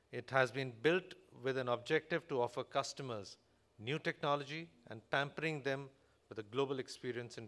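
A man with an Indian accent delivering a formal, measured, scripted keynote speech at a conference as a corporate executive. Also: medium pitch, fairly bright tone. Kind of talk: prepared conference presentation read from a script. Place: large hotel conference hall, on stage at a podium.

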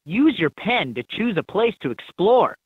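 A woman's voice: mid-range voice, big pitch swings, a little slow, slightly rough, dry recording, heavily acted. A woman voicing an encouraging instructor in a children's animal game, giving scripted instructions in slightly dull game audio. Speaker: educational game voice.

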